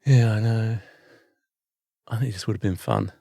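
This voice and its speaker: A man's voice: on the low side, normal speed, vocal fry, warm tone, dry recording, studio quality. A British man co-hosting a podcast, chatting casually in a wry, self-mocking way. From Britain. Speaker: podcast co-host. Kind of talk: casual chat.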